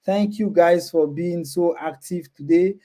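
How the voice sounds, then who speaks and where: A man's voice: sits high, unhurried, mellow. A man hosting a casual livestream, talking to viewers and often repeating his words and phrases. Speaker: live stream host. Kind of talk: casual livestream chat, reading and answering viewer comments.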